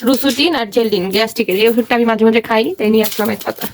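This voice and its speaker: A woman's voice: fairly high pitch, quick pace, a crowd in the background. A woman with a Bangladeshi accent chatting casually and explaining things in a shopping haul vlog. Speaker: vlogger.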